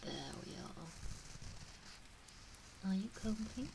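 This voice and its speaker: A woman voicing a teasing, doting kitsune witch in an intimate ASMR roleplay, cooing softly and often dropping into whispers. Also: on the high side, steady tone, slow pace, noisy background. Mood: doting, soothing.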